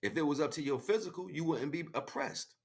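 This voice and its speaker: An American man giving an emphatic, freestyle spiritual monologue in street slang, repeating phrases as he goes, with a husky voice. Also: a little high, expressive, a little fast. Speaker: spiritual livestream speaker.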